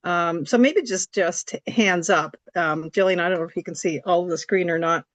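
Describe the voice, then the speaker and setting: A woman with a scratchy, slightly hoarse voice giving an informal explanatory presentation, polite and somewhat hesitant. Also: on the low side, normal speed, no room sound. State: hoarse from allergies. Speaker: report coordinator, presenter. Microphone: video call.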